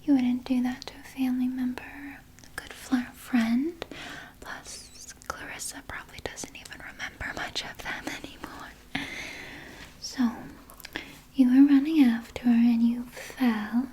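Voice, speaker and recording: A woman playing a doting, quietly sinister vampire nurse in a gentle, breathy ASMR whisper, close to the microphone. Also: high-pitched, flat delivery. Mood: gentle, chiding. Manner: slow, gentle, hushed, coaxing with veiled menace. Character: soft-spoken female vampire nurse, doting, politely sinister. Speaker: ASMR performer. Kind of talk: whispered ASMR personal-attention roleplay.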